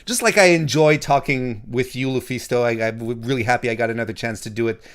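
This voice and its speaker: A man hosting a wrestling interview, talking in halting restarts and cracking jokes. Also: mid-range voice, fairly bright tone, clean tone. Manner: halting, many restarts, joking. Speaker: interview host. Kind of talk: casual interview.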